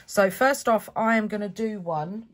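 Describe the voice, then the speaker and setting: A woman, stuffy from a cold, chatting her way step by step through a relaxed craft tutorial at a home desk. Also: mid-range voice, unhurried, slight background noise. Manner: chatty, self-correcting step-by-step explaining. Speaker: craft channel host.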